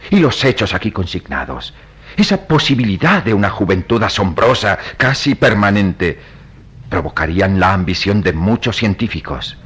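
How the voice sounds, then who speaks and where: A compassionate priest of melodramatic radio drama, grave and persuasive. His low voice is slightly husky and swings widely as he preaches with emphatic, oratorical weight. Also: very animated, normal speed, a bit bright, exaggerated voice. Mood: fervent, grave. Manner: solemn, oratorical, emphatic delivery. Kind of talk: melodramatic Latin American radio drama acting.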